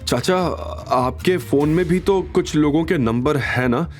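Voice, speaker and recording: Man playing a spoiled young nephew, pitched up. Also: a little slow, darkish tone, soft background music, close to an everyday voice. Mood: pleading. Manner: hesitant, pleading, sly. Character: spoiled, vengeful young nephew, wheedling and scheming.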